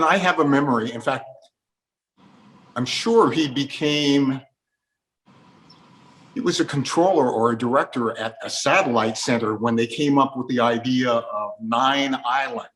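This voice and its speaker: A man leads an interview in a conversational style. His voice is medium in pitch as he puts questions to guests and adds recollections. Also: moderate pace, fairly bright tone, slight background noise, rough recording.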